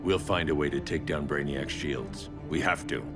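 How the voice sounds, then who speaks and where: Gruff superhero vigilante boss, super low voice, few words, game cutscene w/ music. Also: male voice, moderate pace. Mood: grim resolve. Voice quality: very deep, gruff. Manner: terse, controlled. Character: grim, resolute male masked vigilante leader. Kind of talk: video game cutscene acting.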